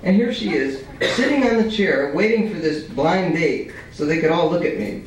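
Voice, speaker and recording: A man's voice: fairly high pitch, lively intonation, normal speed, slight background noise. Young man reading in a very echoey cafe. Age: young adult. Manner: colloquial, profane. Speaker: writer reading his work. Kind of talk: live reading of prose and poetry at a microphone. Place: small dim cafe, indoors. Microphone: stand microphone, picked up by far camera. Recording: echoey cafe, distant camcorder, crowd chatter. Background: audience chatter.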